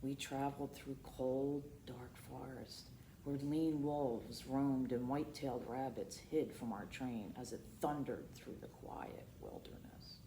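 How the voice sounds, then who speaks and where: A woman hosting a storytime, reading aloud expressively for children and dropping in asides, moving between hushed and emphatic delivery. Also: extremely low pitch, steady tone, a little slow. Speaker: library storytime host. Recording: roomy echo with faint background noise.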